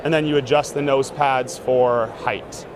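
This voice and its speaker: A man casually demonstrating a gadget in an interview, relaxed and full of fillers. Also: mid-range voice, unhurried. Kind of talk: casual demo talk. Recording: noisy outdoor street sound.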